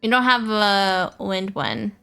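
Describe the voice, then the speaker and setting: A woman's voice: mid-range voice, takes it slowly, vocal fry, sharp tone, clean tone. A woman hosting a gaming livestream, thinking aloud casually and chatting informally with her viewers, often repeating herself. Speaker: livestream host. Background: music.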